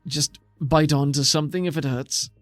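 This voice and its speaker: A man voicing a brisk but caring female alien healer in a tabletop game. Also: on the high side, steady tone, a bit bright, dry recording, faint music behind, pitched above the performer's own voice, natural voice. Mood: brisk reassurance.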